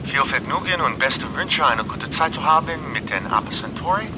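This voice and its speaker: A man's voice: expressive, moderate pace, natural voice. A man playing a cheerful, absurd airline announcer with mock-formal patter that switches between languages. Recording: noisy tape with low rumble.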